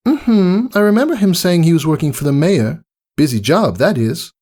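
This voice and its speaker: A man's voice: a little high, expressive, normal speed, darkish tone, voice pushed up. A man voicing a prim, fussy, motherly female unicorn with genteel, dramatic manners in a storybook reading. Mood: fussing, polite. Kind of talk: character voice in fan fiction reading.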